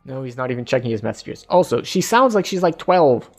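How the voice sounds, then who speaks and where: An offhand male streamer chatting casually over his gameplay. His voice sits at an ordinary middle pitch, and faint music plays behind him the whole time. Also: very animated, brisk, a little mellow. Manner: offhand, joking asides. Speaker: livestreamer playing a game.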